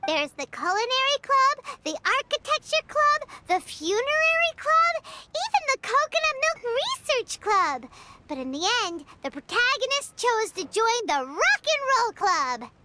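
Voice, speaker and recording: A woman voicing a tiny, excitable childlike fairy companion in a video game, squeaky and bubbly. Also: unhurried, very noisy, exaggerated voice. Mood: eager pitching. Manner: speaks of self in third person, bubbly. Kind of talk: video game character acting.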